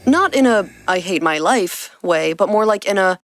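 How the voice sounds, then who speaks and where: A woman's voice: medium pitch, animated, moderate pace, no room sound. A woman reading scripted fiction as the narrator of an audio story. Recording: music behind the voice. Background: background music.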